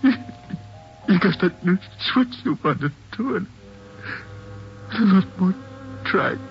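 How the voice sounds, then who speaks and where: An actor in a 1940s radio drama playing a distraught, grief-stricken father, halting and anguished. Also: high-pitched, expressive, soft background music. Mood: broken, grieving denial. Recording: dull 1940s radio recording.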